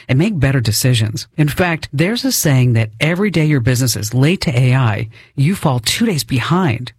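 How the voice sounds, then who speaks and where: A man's voice: medium pitch, husky, dry recording, studio quality. A man hosting a radio call-in show, speaking in a conversational presenter's style. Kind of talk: conversational hosting and scripted ad reads.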